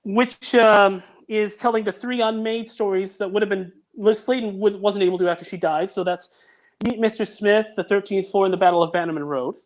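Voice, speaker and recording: A man's voice: high voice, steady tone, brisk. A man who makes audio dramas, chatting on a video call in an earnest way and often restarting his sentences. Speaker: audio drama producer and guest. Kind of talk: casual podcast chat.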